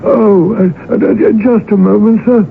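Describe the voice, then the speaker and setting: A man's voice: high voice, steady tone, measured pace, gravelly. An actor playing a timid, deferential male secretary in a radio drama, hesitant and flustered. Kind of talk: 1980s radio drama acting. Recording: noisy lo-fi old radio broadcast.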